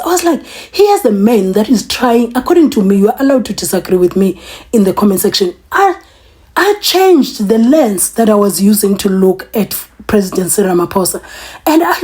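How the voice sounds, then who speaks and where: South African woman host, worn out, earnest off-camera commentary, husky mellow voice. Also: mid-range voice. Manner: earnest, conversational, with restarts. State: tired. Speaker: vlog host. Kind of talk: political commentary vlog. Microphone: voice-over, off camera.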